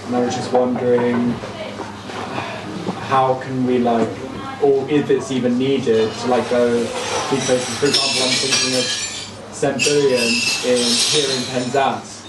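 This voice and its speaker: A man's voice: mid-range voice, flat delivery, a little slow. Distant, echoey man asking tentatively. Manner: tentative, meandering, peppered with "like". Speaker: audience member asking a question. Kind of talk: casual spoken question. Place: audience area at a live talk. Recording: echoey, distant mic, loud room noise.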